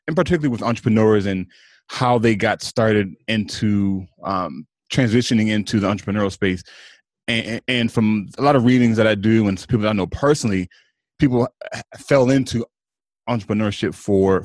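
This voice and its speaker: Low-voiced podcast host, dry sound. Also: male voice, no room sound. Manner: hesitant, many fillers and restarts. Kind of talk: podcast interview hosting.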